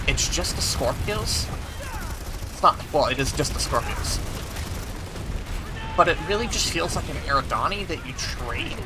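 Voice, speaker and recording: A man giving an analytical, casual review as commentary while he plays a video game. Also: medium pitch. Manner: analytical, talks while playing. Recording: gunfire and game battle noise behind. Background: video game gunfire and vehicles.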